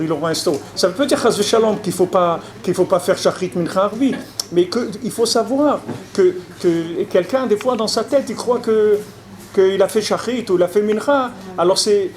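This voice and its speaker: A man, a rabbi, giving a conversational public lecture, often repeating and restarting his words and checking that listeners follow. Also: high voice. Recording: noisy lecture recording.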